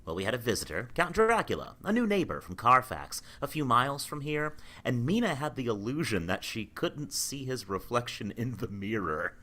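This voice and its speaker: An actor playing a polite, anxious young gentleman in a radio drama, explaining things in an animated way. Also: medium pitch, normal speed, hardly any pauses. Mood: affable. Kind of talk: vintage radio drama acting.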